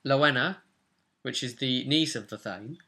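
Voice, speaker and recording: A man's voice: mid-range voice, unhurried, sharp tone. A young man recapping a tabletop role-playing session as game master in casual chat. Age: young adult. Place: small room at home, indoors.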